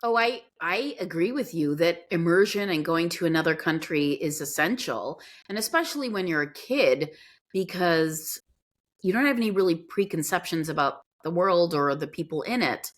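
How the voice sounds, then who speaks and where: A woman speaks as a podcast guest in a relaxed, conversational way. Her voice sits fairly low for a woman. She tells her stories with expression. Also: normal speed. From grew up in Guyana and Pakistan. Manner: expressive storytelling, acts out quoted reactions. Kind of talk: casual conversational interview.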